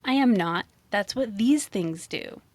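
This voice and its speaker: A woman's voice: mid-range voice, lively intonation, a little slow, no room sound. A woman reading the part of a blunt, impatient male talking animal who lectures emphatically in a storybook tale. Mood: insistent.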